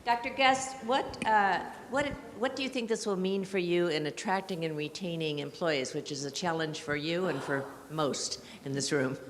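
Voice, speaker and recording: A woman's voice: fairly low pitch, fairly even intonation. Distant woman asking a question in a hall. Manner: appreciative, slightly halting. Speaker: audience member asking a question. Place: large conference hall. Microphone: speaking into another person's handheld mic. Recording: distant voice in an echoing hall with faint noise.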